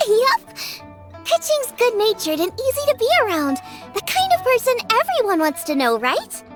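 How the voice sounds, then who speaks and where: Game role: teenage girl cook, very high girlish voice, lively, breezy, friendly. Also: normal speed. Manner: breezy, sincere, easygoing. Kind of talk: video game cutscene voice acting. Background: light playful music.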